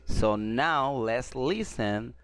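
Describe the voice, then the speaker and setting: Male teacher with Spanish as his first language, explains slowly and repeats every phrase, dry close mic. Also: medium pitch, a bit bright, clean tone, dry recording. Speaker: English teacher. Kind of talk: English lesson explained in Spanish.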